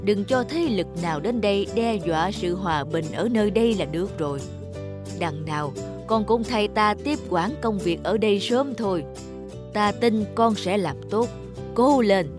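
A woman voices an aged male tree spirit for a cartoon. He is a gentle mentor who speaks slowly and instructively. Music plays clearly behind the voice. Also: mid-range voice, natural voice. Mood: calm, encouraging. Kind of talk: cartoon character acting.

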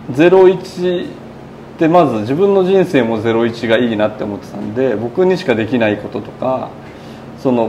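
A man in his thirties chats in a casual interview, recounting things in long, loosely linked sentences. His pitch is average for a man. There is some faint noise around him as he speaks into a lapel mic. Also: warm tone. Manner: chatty, recounting in long run-on sentences with quoted speech. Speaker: burger shop owner being interviewed. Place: indoors in a small burger shop.

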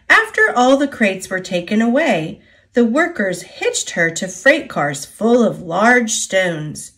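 An American woman narrates picture books for children. She takes her time with each phrase and moves her pitch up and down freely, in an expressive, deliberate way. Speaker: children's storybook reader. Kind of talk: scripted read-aloud narration.

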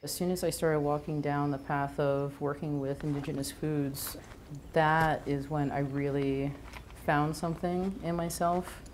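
Low-voiced woman chef, earnest and reflective. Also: steady tone, a little mellow, slight background noise, rough recording. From Venezuela. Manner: earnest, thoughtful, with brief pauses and restarts. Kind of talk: reflective documentary interview. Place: home kitchen and living room, indoors.

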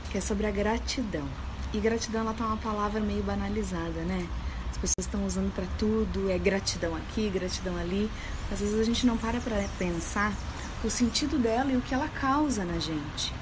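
A woman's voice: medium pitch, a little fast. A woman, giving an earnest motivational talk to followers on a phone camera. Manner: warm, earnest, questioning, gestures while talking. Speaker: healthy-living coach. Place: indoors, room with window. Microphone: phone held at arm's length. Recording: phone video with clear background noise.

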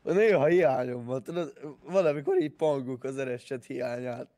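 A man's voice: mid-range voice, warm tone, no echo. A man chatting casually in a roleplay game, with crude joking. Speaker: fellow roleplay gamer.

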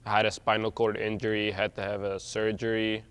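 A man's voice: on the low side, monotone, fairly quick, fairly bright tone, smooth. A young man from Egypt, a wheelchair basketball player, talking casually and reflectively about his accident. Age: young adult.

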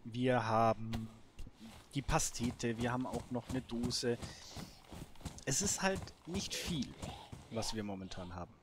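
A man's voice: mid-range voice, slow pace, long pauses, background noise. A man giving casual, improvised gameplay commentary, thinking aloud in short muttered remarks. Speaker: gaming let's player.

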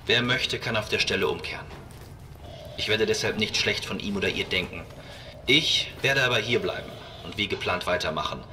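A man playing a calm, resolute spaceship captain with dry humour in a sci-fi audio drama. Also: fairly low pitch, normal speed, recorded from a distance, noisy background, natural voice. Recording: clearly audible music behind.

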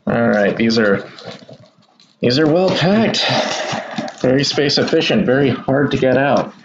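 A man in a relaxed, chatty manner hosts a live card break and talks straight to his viewers. His voice sits in an ordinary middle pitch. The webcam audio comes through dull and lo-fi, with a faint hiss underneath. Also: a little slow. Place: indoor room at a kitchen table.

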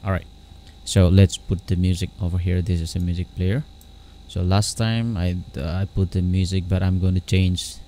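A man with a very low voice walks through a computer program in a casual, halting way. He repeats himself and keeps checking in. His tone is fairly bright, over faint background noise. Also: flat delivery. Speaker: software tutorial host. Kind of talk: casual screen-capture tutorial.

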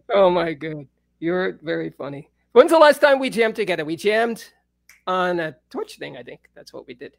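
A man's voice: sits high, big pitch swings, a little slow, creaky, pauses often, no room sound. A middle-aged man hosting a casual live-stream chat from his home studio, talking in a laid-back, rambling way with frequent laughs. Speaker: host. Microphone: studio mic on a stand.

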